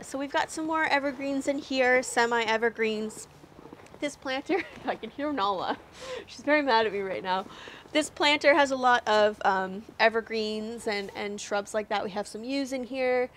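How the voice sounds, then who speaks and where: A woman's voice: fairly high pitch, slight background noise. A young woman from Canada giving a chatty, upbeat walking tour of her snowy backyard garden, talking into a lapel mic outdoors and laughing often. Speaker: garden vlogger.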